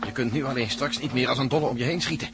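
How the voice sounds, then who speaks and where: A man's voice: mid-range voice, very fast, close to an everyday voice. An actor playing a cool, smug, scheming male saboteur in a radio play. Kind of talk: Dutch radio play acting. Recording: radio play with loud music.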